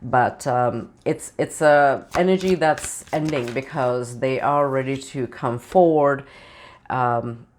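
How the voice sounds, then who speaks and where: Tarot reader, just over the flu and sounding it, middle pitch, talks in loose, filler-heavy runs. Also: takes it slowly, a little hiss or hum. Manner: meandering, with many "you know" and "um" fillers. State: voice changed, recovering from flu. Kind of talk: channeled tarot reading to camera.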